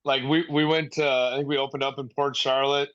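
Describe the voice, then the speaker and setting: A man with a medium-pitched voice reminisces in loose, casual chat. The sound is dry and slightly dull, like a lo-fi online call. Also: flat delivery, a little fast, fairly bright tone, smooth, no echo. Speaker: podcast guest.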